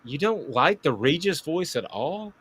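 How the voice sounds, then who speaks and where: A man's voice: on the high side, sing-song, a little slow, fairly bright tone, a little hiss or hum. A man hosting a sports talk radio show, hyping segments in a hammy, announcer-style way.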